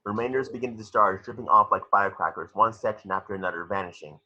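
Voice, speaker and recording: A man narrates action scenes from a screenplay in a low voice. The tone is very bright and forceful with a husky edge, and the recording is a little dull and lo-fi. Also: fast. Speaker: stage directions narrator. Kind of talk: dramatic screenplay read-through.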